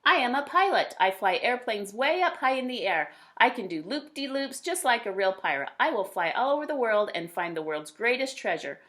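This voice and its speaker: A woman plays an imaginative little storybook boy who boasts about his make-believe adventures. The voice is lifted in pitch and lively, with emphatic, proud declarations. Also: normal speed, hardly any pauses. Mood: boastful, excited. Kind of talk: children's storybook character reading.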